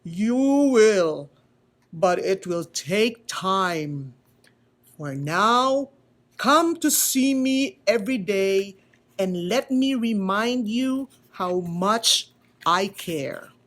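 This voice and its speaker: A woman voicing a kindly, loving male wood carver in a children's storybook, speaking slowly, strongly and with emphasis. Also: medium pitch, animated. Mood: tender, reassuring. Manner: slow, deliberate, tender.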